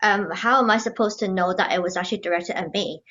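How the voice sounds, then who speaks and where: A woman's voice: medium pitch, fast, a bit bright. A woman from Hong Kong speaking on an online panel, reflective and thoughtful, often posing questions to herself. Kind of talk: personal testimony on a panel. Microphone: video call. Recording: dull video call audio.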